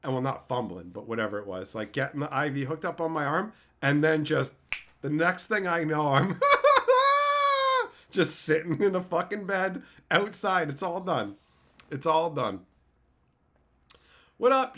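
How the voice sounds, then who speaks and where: Loud, profane, animated male streamer. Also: fairly high pitch. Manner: loud, profane, laughs often. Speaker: streamer host. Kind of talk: casual live-stream chat.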